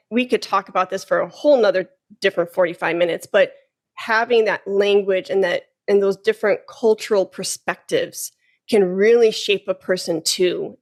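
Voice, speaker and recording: A woman hosting a podcast interview, chatting casually with quick enthusiastic reactions. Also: mid-range voice. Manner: enthusiastic, warm affirmations. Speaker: podcast host.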